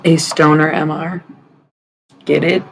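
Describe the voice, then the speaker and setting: Raspy woman rambling on her stream, swears a lot, gravelly, faint hiss behind. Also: low-pitched, flat delivery, slow pace. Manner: rambling and loose, swears freely, chatting to viewers. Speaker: livestream host. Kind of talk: casual live-stream chat. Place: at home at night, others asleep nearby.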